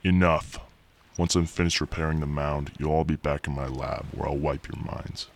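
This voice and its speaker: An imperious, evil scientist for a fiction podcast. The voice is extremely low with a heavy creak, cold and menacing in its commands, over faint background noise. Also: male voice, fairly even intonation, normal speed. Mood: angry, commanding. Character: menacing evil scientist villain ruling a simulated town. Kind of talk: audio drama acting.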